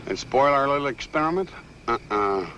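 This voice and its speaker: A noisy old film soundtrack carries a man acting a sharp private detective. He talks in a dry, wry, measured way, at an ordinary middle pitch, in classic 1950s noir style. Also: animated, close to an everyday voice. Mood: dry, assured. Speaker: film actor.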